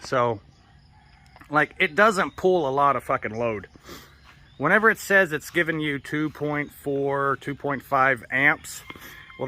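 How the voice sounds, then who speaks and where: A man's voice: medium pitch, pushed and bright, a little hiss or hum. An American man talking in a rambling, casual walk-and-talk vlog, swearing often in slangy, easygoing speech. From United States. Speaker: vlogger.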